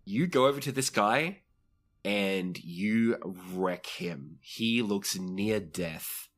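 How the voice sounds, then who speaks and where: Low-voiced man running a tabletop game. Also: animated, slow, fairly bright tone. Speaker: game master. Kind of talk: narrating and refereeing a tabletop game.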